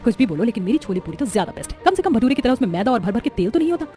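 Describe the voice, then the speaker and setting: A woman playing a sharp-tongued, confident young daughter-in-law in a story, teasing and argumentative. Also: fairly high pitch, very animated, rapid-fire. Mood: defensive. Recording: music behind the voice.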